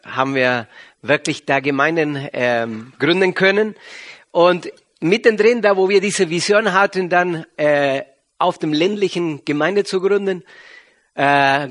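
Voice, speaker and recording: A middle-aged man with an Argentine accent and native Spanish, speaking haltingly to a congregation as a visiting pastor. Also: on the high side, fairly bright tone. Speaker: visiting pastor and regional church leader. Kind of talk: sermon introduction to a congregation.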